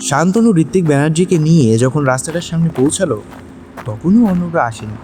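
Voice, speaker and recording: A man narrating a dramatic audio story, with measured, suspenseful phrasing. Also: on the high side, animated, warm tone, faint music behind.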